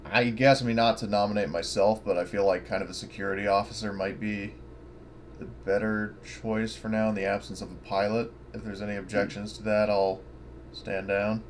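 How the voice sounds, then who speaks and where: Male tabletop player, lower-pitched voice, casual and tentative, thinking aloud, noisy recording. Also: level tone, moderate pace. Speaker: player in a tabletop roleplaying game. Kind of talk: casual game-table chat. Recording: clear background noise.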